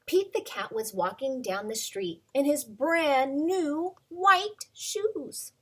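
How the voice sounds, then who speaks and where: A woman who is a preschool teacher, reading a picture book aloud to young children at home in a playful, expressive way, asking questions and sometimes whispering. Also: high voice, takes it slowly.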